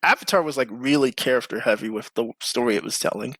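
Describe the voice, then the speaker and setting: A man's voice: mid-range voice, fast, fairly bright tone, no echo. A man hosting a casual podcast chat, talking informally and with slang. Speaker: podcast host.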